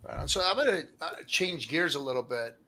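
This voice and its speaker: Casual male host, slightly high voice. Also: lively intonation, brisk, sharp tone. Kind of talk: casual interview chat.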